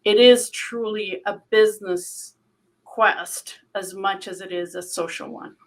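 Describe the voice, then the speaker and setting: A woman's voice: mid-range voice, steady tone, measured pace. A woman executive speaking on a panel in a direct, passionate and emphatic way. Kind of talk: panel discussion.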